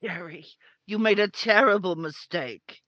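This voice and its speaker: An actress playing a hostile, suspicious middle-aged mother-in-law in a 1950s-style radio crime drama, with scornful, drawn-out accusations. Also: fairly low pitch, a bit bright, no echo, lo-fi sound.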